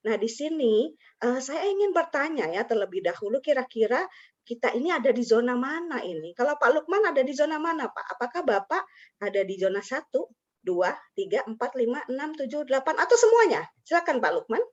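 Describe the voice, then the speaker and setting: A woman's voice: high voice, very animated, a little fast. A woman hosting an online teacher webinar, chatty and friendly, calling on participants by name. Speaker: webinar host and teacher trainer. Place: video call. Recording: dull lo-fi video call audio.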